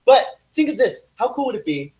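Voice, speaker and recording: A young man co-hosting a live podcast from a home living room on a handheld mic, chatting casually and reading viewer comments aloud with excitement. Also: very high voice, fairly quick, crowd noise. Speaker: podcast co-host.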